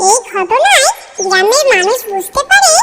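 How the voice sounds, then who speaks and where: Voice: very high voice, big pitch swings, normal speed, very noisy. A narrator reading a scripted story for a children's cartoon.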